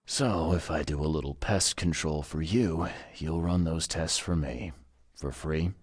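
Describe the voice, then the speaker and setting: Very deep, husky private eye. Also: male voice, measured pace, dry recording, exaggerated voice. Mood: dry, businesslike. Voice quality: husky, gravelly low voice. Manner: laconic, wry questioning. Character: gruff, world-weary male private investigator, wry. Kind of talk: video game dialogue acting.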